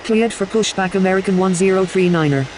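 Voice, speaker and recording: A woman's voice: medium pitch, brisk, close to an everyday voice. A woman playing a businesslike airline pilot in a game, making clipped, scripted radio calls. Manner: clipped, formulaic phraseology. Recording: hissy recording over steady engine noise.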